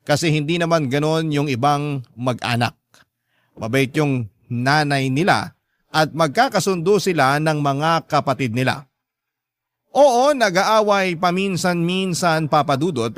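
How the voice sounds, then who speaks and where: Man as a hurt youngest son, slightly high voice, earnest plaintive storytelling, radio drama narration, dry close sound. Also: no room sound, natural voice. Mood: hurt, resentful. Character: aggrieved youngest son recounting his hard life. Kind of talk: first-person radio drama narration of a letter.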